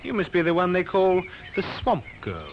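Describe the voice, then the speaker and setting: An actor playing a pushy, insinuating soldier in an old radio drama, teasing and insistent. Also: male voice, high voice, wildly swooping pitch, moderate pace, full character voice. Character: pushy, insinuating soldier pursuing a lone woman. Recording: muffled, noisy old radio recording.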